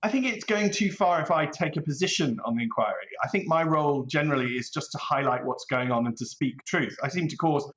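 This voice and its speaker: Higher-pitched British man in an echoey room. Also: lively intonation, a little fast, hardly any pauses, fairly bright tone. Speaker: barrister interviewed as a guest commentator. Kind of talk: opinionated current-affairs interview. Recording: echoey room, slightly dull sound.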